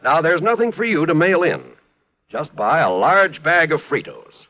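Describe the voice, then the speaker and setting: A man's voice: on the high side, very animated, moderate pace. Upbeat 1950s radio announcer man. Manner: upbeat, emphatic announcer delivery. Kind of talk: 1950s broadcast announcing and ad read. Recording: dull old radio broadcast with music.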